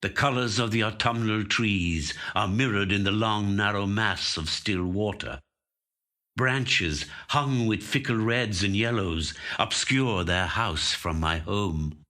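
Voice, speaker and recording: Slow, deep-voiced male storyteller, bright and expressive. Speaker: professional actor reading a short story. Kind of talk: scripted literary narration. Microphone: studio microphone.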